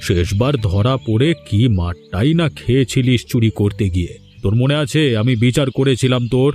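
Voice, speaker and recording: A man's voice: mid-range voice, moderate pace, a little mellow, faint music behind, close to an everyday voice. A man with a West Bengal accent voicing a benevolent, self-important village landlord in a cartoon, speaking with patronizing authority. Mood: patronizing approval. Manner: patronizing, approving, declamatory. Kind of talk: cartoon voice acting.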